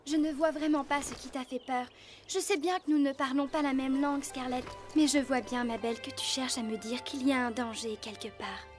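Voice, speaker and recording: A woman's voice: high voice, fairly even intonation, fairly quick, soft background music, close to an everyday voice. A woman voicing a spirited young girl from a riding ranch in a children's cartoon. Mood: concerned, tender. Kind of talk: animated children's series dub.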